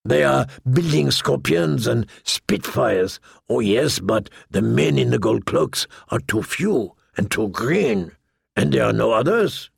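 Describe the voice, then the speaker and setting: A man's voice: mid-range voice, animated, slow pace, gravelly, slightly rough, no room sound, studio quality, full character voice. A man voicing a boastful, lewd, wheedling pirate captain in an audiobook, with a theatrical, jovial manner and questioning tags. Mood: jovial, scornful. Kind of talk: audiobook character voice.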